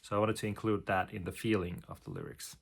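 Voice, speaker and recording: A man talks through his composing step by step, pausing often on fillers and humming short melody lines. His voice sits low with a gravelly, creaky edge. He explains things in a hesitant, searching way. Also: fairly even intonation. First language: Finnish.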